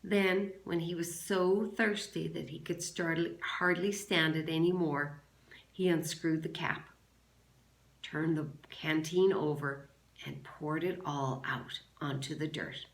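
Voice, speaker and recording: A woman teacher reading a children's novel aloud to a class in a measured, deliberate way. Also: deep voice, fairly even intonation. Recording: roomy, echoing sound.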